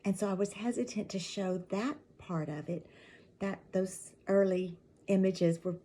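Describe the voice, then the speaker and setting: A middle-aged woman with a raspy, allergy-worn voice, chatting casually to her viewers in a rambling way, her words often trailing into a soft half-whisper. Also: medium pitch, steady tone, unhurried, a little hiss or hum. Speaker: channel host. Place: at home with a mug of tea.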